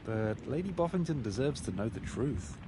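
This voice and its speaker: A man's voice: mid-range voice, animated, moderate pace, background noise, lightly acted. A man voicing a blunt, inquisitive pig detective in a video game, musing and matter-of-fact. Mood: blunt, accusing. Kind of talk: video game character acting.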